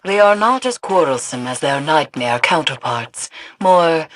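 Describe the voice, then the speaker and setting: An actress playing a cryptic occult expert in a video game, speaking gravely and formally. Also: female voice, sits low, expressive, a little slow, background noise. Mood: grave, ominous. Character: solemn, cryptic female occult expert and field agent. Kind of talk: dramatic video game dialogue.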